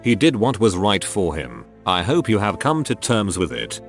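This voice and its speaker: Low-pitched man narrating stories with lively intonation. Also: moderate pace, faint music behind. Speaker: narrator reading online forum stories. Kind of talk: scripted narration.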